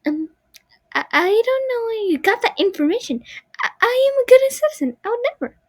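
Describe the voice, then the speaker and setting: High soft childlike puppet girl, shy and haunted, pitch jumps all over, dry sound, a girl putting it on. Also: very animated, moderate pace, no echo. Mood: frightened. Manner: timid, pleading when scared.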